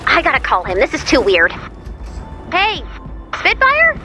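A woman voicing a cheerful, chatty, excitable robot helper in a video game, with a perky, quirky delivery. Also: sits high, a little slow, music in the background, heavily acted. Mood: puzzled, concerned. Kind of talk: video game mission dialogue.

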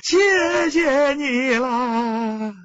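Man voicing a sobbing, high-pitched desperate mother. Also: animated, fairly bright tone, dry recording, highs cut off, full character voice. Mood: weeping, broken. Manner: wailing, drawn-out pleading. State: crying. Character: desperate middle-aged mother, sobbing and pleading.

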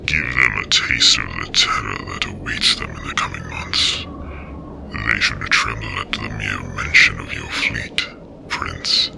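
Gravelly, abyssally deep alien overlord. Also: male voice, over loud music, full character voice. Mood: merciless. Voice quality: gravelly, breathy growl. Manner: slow, deliberate. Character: menacing ancient alien overlord, merciless and commanding. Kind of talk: science fiction audio drama acting.

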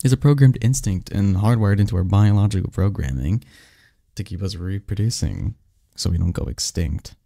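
A man's voice: fairly low pitch, moderate pace, vocal fry, mellow, dry recording, clean studio sound. A man playing a snarky, cynical young research assistant in an audio drama, talking conversationally with a scoffing, pedantic manner and small stumbles. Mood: skeptical, lecturing.

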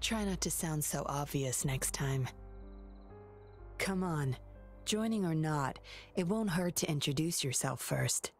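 Cool teasing young woman, low voice, dry mocking delivery, game dialogue. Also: animated, a little slow, pauses often, faint music behind.

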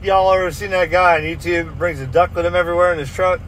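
High-voiced man chatting casually in a vehicle. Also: quick pace. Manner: folksy, slangy, animated. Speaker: vlogger chatting on CB radio. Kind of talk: casual chat. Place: inside a vehicle in traffic. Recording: faint vehicle noise.

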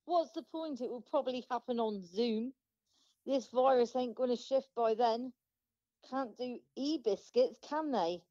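A woman plays a grumpy naysayer in a radio play. Her voice is mellow and blunt. It comes through a slightly muffled, dry phone recording. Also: a little high, a little slow, no room sound, natural voice. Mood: dismissive. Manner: grumbling, blunt. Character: Kathy, grumpy, pessimistic would-be singer woman. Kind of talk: amateur radio play, video-call meeting.

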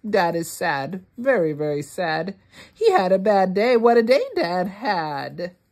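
A woman reading a rhyming picture book aloud for young children, word by word, with deliberate expression. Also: lowish voice. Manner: deliberate, word-by-word, expressive delivery for early readers. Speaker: children's read-along host.

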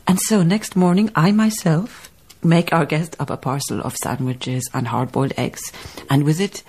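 An actress in a radio drama playing a dignified, thoughtful baroness, telling her tale in measured literary phrases. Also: low-pitched, darkish tone, slightly rough. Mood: storytelling. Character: reflective, dignified baroness, storytelling colonial farm owner. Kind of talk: radio drama acting and narration.

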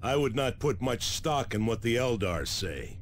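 Disciplined military sergeant, mid-pitched. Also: male voice, very animated, a little slow, a little hiss or hum. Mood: composed, businesslike. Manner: formal, clipped military. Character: disciplined, steady space marine sergeant. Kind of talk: video game character acting. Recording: loud music and battle behind.

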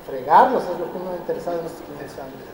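A man in his fifties from Mexico giving a lively public lecture, questioning his audience and pressing his points. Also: on the high side, racing, noisy background. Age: 50s. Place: large roofed hall with metal roof beams. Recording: echoey hall, camera at a distance.

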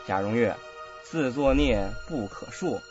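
An actor playing a cold, indignant young husband in a radio drama, stern, articulate and accusing. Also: male voice, medium pitch, animated, measured pace, darkish tone, natural voice. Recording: dull lo-fi audio, faint music.

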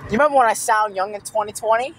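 A young man chatting as a passenger in a moving car, repetitive and often coughing and clearing his throat. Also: high voice, racing, a bit bright. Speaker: passenger filming a drive. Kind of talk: casual chat. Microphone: handheld phone camera. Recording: car road noise behind.

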